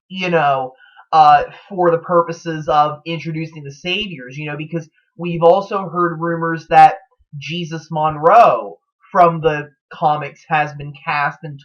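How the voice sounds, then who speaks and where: A man's voice: on the high side, steady tone, measured pace, a bit bright. A man giving casual, speculative commentary as a vlog host, in a hesitant, rambling manner. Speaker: host of a TV show theory vlog. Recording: dull, lo-fi recording.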